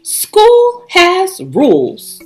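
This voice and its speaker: A strict schoolmistress from a children's storybook, as a woman reader acts her out. She is loud and commanding, with a raised, swooping pitch and slow, drawn-out, emphatic words. Music plays behind her. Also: huge pitch swings, exaggerated voice. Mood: stern, shouting. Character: strict, shouting female first-grade teacher.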